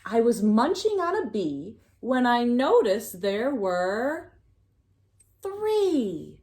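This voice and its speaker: A woman giving an expressive, sing-song picture-book read-aloud for young children, pausing to prompt her listeners. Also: on the high side.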